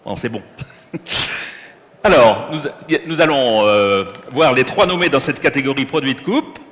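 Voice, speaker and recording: A man hosting an awards ceremony, lively and joking as he introduces categories. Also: mid-range voice, unhurried. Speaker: ceremony host. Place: large exhibition hall. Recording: hall reverb.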